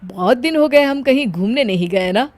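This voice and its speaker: A woman's voice: a little high, expressive, moderate pace, no room sound, close to an everyday voice. A woman voicing a mild, easygoing adult husband in a cartoon, with a placid, coaxing manner.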